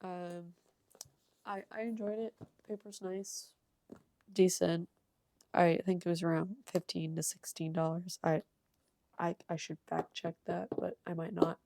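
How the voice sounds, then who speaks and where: A young woman with a cold and a slightly hoarse voice, rambling casually with ums and soft laughs as she shows her art. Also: on the low side, flat delivery, unhurried, no room sound. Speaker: art channel creator. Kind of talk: casual sketchbook tour voice-over.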